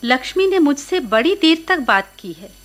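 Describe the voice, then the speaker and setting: High-voiced, authoritative holy woman. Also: very animated, moderate pace, a bit bright. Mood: earnest, persuasive. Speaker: radio actor. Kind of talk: radio drama dialogue.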